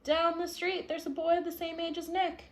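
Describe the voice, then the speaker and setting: A woman's voice: very high voice, flat delivery, normal speed, slight background noise, voice pushed up. A woman reading the part of a stubborn, indignant young boy from a picture book, with emphatic delivery. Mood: glum. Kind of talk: picture-book read-aloud.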